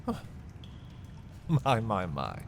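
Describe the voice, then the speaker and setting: An actor playing a haughty, menacing prince taunting a captive thief in a dark fantasy audio roleplay, theatrical and condescending with dramatic pauses. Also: male voice, lowish voice, huge pitch swings, vocal fry, a little hiss or hum, heavily acted. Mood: condescending pity.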